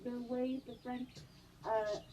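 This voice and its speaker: Chatty actress on a distant mic. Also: female voice, on the high side, slow pace, highs cut off. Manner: animated, chatty, laughs often. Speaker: guest actress. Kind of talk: live-stream interview chat. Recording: distant mic with faint noise.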